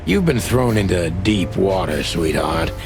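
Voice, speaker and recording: A man playing a world-weary rooster private detective in a film-noir video game, speaking in a measured, probing, wry hardboiled way with a gravelly voice. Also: low voice, very animated, over music, heavily acted. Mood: wry, pressing.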